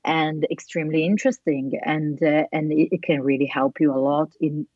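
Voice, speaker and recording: A woman with a strong Italian accent, speaking English as her second language in a relaxed interview chat. Her voice sits low for a woman and sounds dry and a little muffled. She pauses often with fillers and restarts her sentences. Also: no echo. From Italy. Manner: thoughtful, with many fillers and restarts. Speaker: podcast guest.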